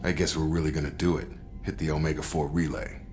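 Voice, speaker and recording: Very deep-voiced male soldier. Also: level tone, quick pace, a little mellow, soft background music. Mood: sober, reflective. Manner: casual, clipped, plainspoken. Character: loyal, reflective male soldier crewmate. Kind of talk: dramatic video game dialogue.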